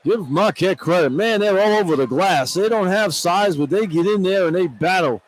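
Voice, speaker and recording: A man's voice: high-pitched, normal speed, low-quality recording. A man giving off-the-cuff radio color commentary on basketball in a folksy, colloquial and opinionated way. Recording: arena noise behind broadcast commentary. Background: arena crowd ambience.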